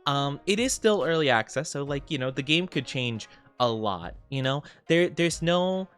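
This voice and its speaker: A young man streaming from home, chatting casually with viewers in a soft, rambling way full of fillers, sounding sniffly and stuffed up. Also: fairly high pitch, lively intonation, moderate pace, clear, resonant tone, soft background music.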